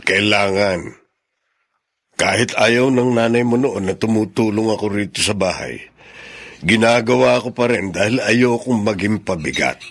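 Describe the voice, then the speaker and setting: A worn out old dad for radio drama, creaky voice, pleading and emotional. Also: male voice, on the low side, measured pace. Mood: tender concern.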